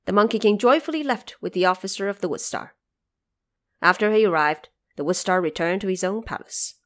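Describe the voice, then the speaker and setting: A woman's voice: medium pitch, animated, brisk, fairly bright tone. A woman narrator telling an epic adventure story in a scripted, dramatic storytelling style.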